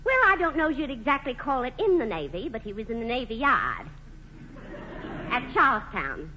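A woman's voice: on the high side, big pitch swings, moderate pace, bright, forceful tone. An actress playing a plain-spoken, folksy small-town woman in a 1940s radio play. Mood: chatty, homespun. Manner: folksy, homely turns of phrase. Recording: muffled, hissy old radio broadcast.

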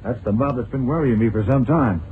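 A man's voice: medium pitch, fairly quick, natural voice. An actor playing a plain-spoken male colleague of a detective in a radio crime drama. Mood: satisfied. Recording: muffled noisy vintage radio drama recording.

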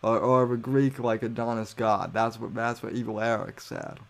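A man's voice: mid-range voice, fairly even intonation, moderate pace, gravelly. A young man hosting his own podcast, rambling and self-assured as he reads and answers his live chat. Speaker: podcast host. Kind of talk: solo livestream podcast, reading chat aloud.

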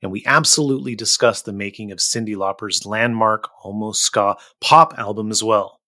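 Casting: male podcast host, lower-pitched, plain-spoken introductions and questions. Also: fairly even intonation, moderate pace, sharp tone. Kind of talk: scripted introduction and casual interviewing.

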